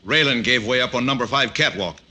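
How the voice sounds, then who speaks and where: An actor playing a surly, shaken American factory worker with a curt, blunt manner in an old radio drama. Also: male voice, medium pitch, a little fast, bright, forceful tone. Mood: surly, rattled. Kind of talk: 1940s radio drama acting. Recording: faintly noisy old radio recording.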